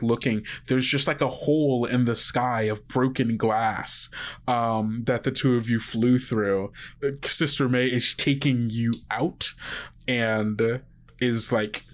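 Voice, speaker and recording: A man running a tabletop game as game master, improvising vivid narration in a halting, conversational way. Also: mid-range voice.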